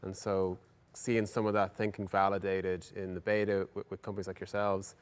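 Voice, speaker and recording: A man hosting a casual business interview, speaking for his software company in an affirming, encouraging way. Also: sits low, flat delivery, normal speed, clean tone.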